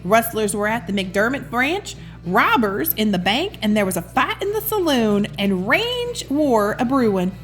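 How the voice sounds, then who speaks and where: A woman's voice: on the high side, very animated, measured pace. A woman reads a picture book aloud expressively, with affectionate, chatty asides to the listener. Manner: affectionate, addresses the listener as sweetheart. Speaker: children's storybook reader and host. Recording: music behind the voice. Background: music.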